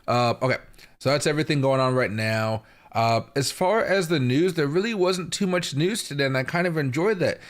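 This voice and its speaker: A man with a hoarse voice from a chest infection, hosting a live market commentary stream at an unhurried pace with frequent pauses and fillers. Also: fairly high pitch, expressive. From Seattle area, US. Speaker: crypto market livestream host.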